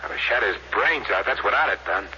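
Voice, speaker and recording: Hot-tempered, sharp-voiced space crewman. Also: lowish voice, lively intonation, fairly quick. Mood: angry, vengeful. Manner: gruff, belligerent. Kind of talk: 1950s American radio drama acting. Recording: muffled noisy old radio recording.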